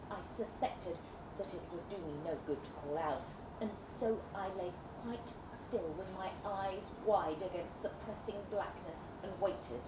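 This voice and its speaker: Roomy echo, noisy distant mic, woman, dramatic literary narration, measured suspenseful delivery. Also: mid-range voice. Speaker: reader performing a short story at a live event. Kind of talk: dramatic literary reading, Gothic Victorian melodrama narration. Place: indoor pub event space, live reading. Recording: echoey room, distant mic, clear background noise.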